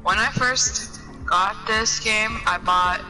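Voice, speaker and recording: A teenage girl chatting while she plays, often puzzled and distracted, with a run of quick exclamations. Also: mid-range voice, sharp tone, low-quality recording. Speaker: gamer on voice chat. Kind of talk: casual game chat. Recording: game music playing clearly behind. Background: game music.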